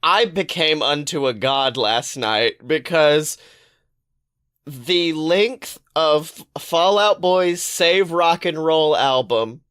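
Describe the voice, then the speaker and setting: Male podcast co-host, slightly high voice, excitable and playful, casual comic storytelling. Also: smooth. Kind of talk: casual comedic chat.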